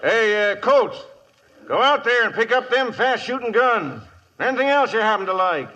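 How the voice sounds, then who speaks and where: An actor playing a hearty, folksy cavalry sergeant in a vintage radio western, exclaiming in homespun talk. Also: male voice, high-pitched, expressive, moderate pace, pushed and bright. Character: folksy, hearty male cavalry sergeant, Civil War veteran. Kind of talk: 1950s radio western acting. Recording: muffled vintage radio recording.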